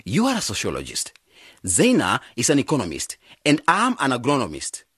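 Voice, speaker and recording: A man acting in a radio drama as an enthusiastic, persuasive young agronomist with a husky voice. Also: mid-range voice, huge pitch swings, brisk, a bit bright, no echo, clean studio sound, heavily acted. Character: enthusiastic young male agronomist with big plans.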